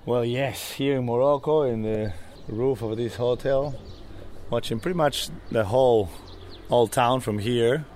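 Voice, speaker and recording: A man from Peru with a Peruvian Spanish accent, a Spanish speaker giving loose, halting casual interview answers. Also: mid-range voice, darkish tone, a little hiss or hum. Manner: loose, halting non-native English with laughs. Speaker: whitewater guide and interview guest. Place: rooftop outdoors in an old city. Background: faint outdoor street and bird sounds.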